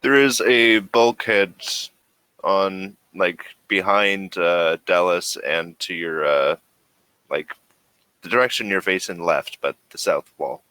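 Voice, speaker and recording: A man's voice: low-pitched, slow, sharp tone, dry recording, low-quality recording. A man running a tabletop roleplaying game as game master, narrating scenes and giving rulings.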